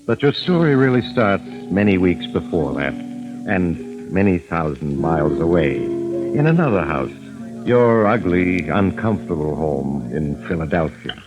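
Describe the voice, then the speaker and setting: An actor with an American accent playing a sinister, urbane storyteller who narrates eerie tales in a measured, ominous 1940s radio suspense style. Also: male voice, deep voice, very animated. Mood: suspenseful. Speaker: host narrator. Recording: muffled vintage radio recording with music.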